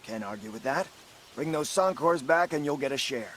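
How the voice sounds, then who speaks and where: A man's voice: mid-range voice, animated, normal speed, fairly bright tone, a little hiss or hum, lightly acted. A man playing a pragmatic, beleaguered caravan boss in a video game, frank and plain-spoken. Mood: relieved, grateful.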